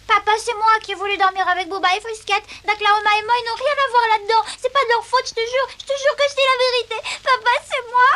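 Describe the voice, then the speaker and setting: A woman's voice: extremely high pitch, steady tone, fast, hardly any pauses, pushed and bright, a little hiss or hum. A woman voicing an earnest, affectionate little girl in a French-dubbed cartoon. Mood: tearful, pleading. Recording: music behind the voice.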